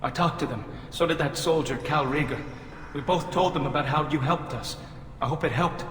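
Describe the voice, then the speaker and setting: A man voicing a haunted young male alien survivor in a video game, halting and timid. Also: a little high, fairly bright tone, natural voice. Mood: fragile, grateful. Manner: halting, timid, self-deprecating. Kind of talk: video game dialogue acting. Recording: echoey reverberant hall.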